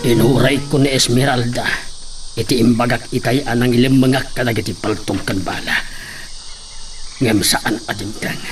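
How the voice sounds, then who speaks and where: An actor plays a watchful, determined man in an Ilocano radio drama monologue. His accent is Ilocano and his pitch is average. Insects and faint noise are heard behind him. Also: lightly acted. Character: watchful man resolved to get justice.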